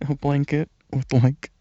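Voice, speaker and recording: A young man rambling softly in a casual ASMR chat, hesitant and drifting toward a near-whisper, close to the microphone in a slightly lo-fi recording. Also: a little high, fairly even intonation, takes it slowly, dry recording. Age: young adult. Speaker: ASMR channel creator.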